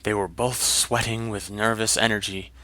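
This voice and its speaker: A man's voice: lowish voice, fairly even intonation, moderate pace, sharp tone. A man narrating a scripted fantasy story in a dramatic style.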